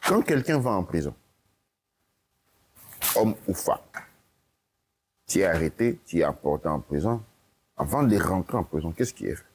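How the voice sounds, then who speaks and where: A man with a very low, gravelly voice lectures in an interview, swinging his intonation widely. He drives his points home by repeating them and tossing in "ok?" to check he is followed. A faint hiss sits under the recording. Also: big pitch swings, normal speed, pauses often, a little mellow. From Italy. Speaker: guest speaker.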